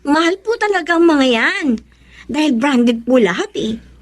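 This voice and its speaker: A high, girlish voice playing a naive schoolgirl in a Filipino radio drama. She is deferential to her elders and eager to please, loyal to a fault. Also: lively intonation, moderate pace. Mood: cheerful, eager. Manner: polite, deferential to parents and elder brother.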